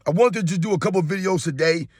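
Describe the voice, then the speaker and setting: A hoarse man in his thirties, a sports YouTuber delivering a casual, emphatic opinion rant straight to his viewers and calling them guys. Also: high-pitched, fast talker, no echo, studio quality.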